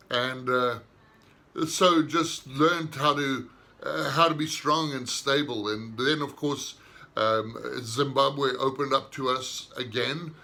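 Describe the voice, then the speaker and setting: A man, a minister giving an unhurried personal testimony in short, reflective phrases. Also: medium pitch, bright, forceful tone. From southern Africa.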